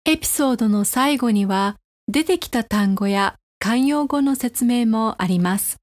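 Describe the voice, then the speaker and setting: Quick, higher-pitched woman presenter. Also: animated, darkish tone. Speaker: podcast presenter. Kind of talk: scripted explanation for language learners.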